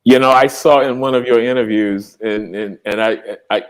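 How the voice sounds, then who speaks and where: A man's voice: lowish voice, steady tone, normal speed, poor audio. A man hosting a casual interview, encouraging his guest with short affirmations and steering the conversation. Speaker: host.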